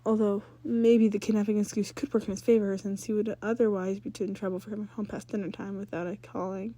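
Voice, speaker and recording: A woman's voice: medium pitch, level tone, fast talker, dark tone. A woman reading fan fiction aloud as a story narrator, sometimes stumbling and rereading phrases.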